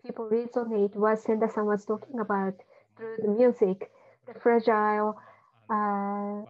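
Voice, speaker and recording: A woman doing simultaneous interpretation on an online call, talking haltingly with frequent fillers and restarts. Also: mid-range voice, level tone. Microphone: video call. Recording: muffled video-call audio.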